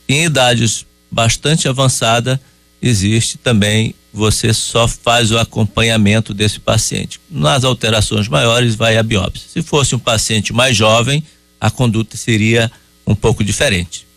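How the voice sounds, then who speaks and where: A man answering listeners' medical questions on a radio call-in show, explaining calmly and at a measured pace. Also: medium pitch, dry recording, soft background music. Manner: calm, explanatory, measured with hesitations. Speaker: urologist guest. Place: radio studio.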